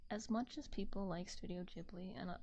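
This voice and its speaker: A woman streamer chatting casually in a gentle, soft-spoken ASMR style, rambling and hesitant, close to a binaural microphone. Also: mid-range voice, brisk, a little hiss or hum.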